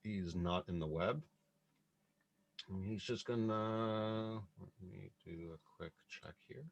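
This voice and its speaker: Deep-voiced male game master, slight noise. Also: slow pace, vocal fry. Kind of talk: running a tabletop game, casual narration and chat. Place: indoors on an online group call. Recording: online call with faint background noise.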